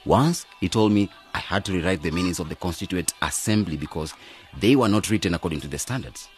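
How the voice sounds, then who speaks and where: An actor playing an earnest, optimistic young graduate who leads a village cooperative in a radio drama. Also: male voice, deep voice, lively intonation, quick pace, a little mellow, faint music behind. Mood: frustrated. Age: young adult.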